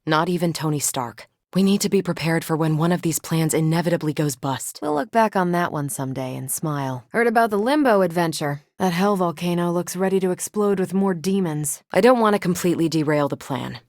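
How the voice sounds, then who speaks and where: A confident superheroine from a video game, acted by a woman. Her voice sits a little low for a woman, with a dry, wisecracking, soldierly assurance. The recording is clean and free of room sound. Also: a little fast, hardly any pauses, a little mellow, dry recording, close to an everyday voice. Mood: wry, conversational.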